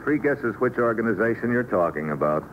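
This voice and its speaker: Man acting a deep-voiced, tough wisecracking agent. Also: lively intonation, a little fast, lightly acted. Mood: dry, probing. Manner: sardonic, terse, unflappable. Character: tough, wisecracking male agent hero, held hostage. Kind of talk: 1950s hardboiled radio acting. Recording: muffled, noisy old radio recording.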